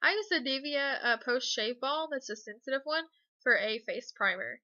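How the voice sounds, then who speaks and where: Congested young woman, bright casual voice. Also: high-pitched, normal speed, no echo, dull audio. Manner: relaxed, hesitant, many ums and uhs. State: congested from a cold. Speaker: beauty vlogger. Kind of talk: casual makeup tutorial voice-over.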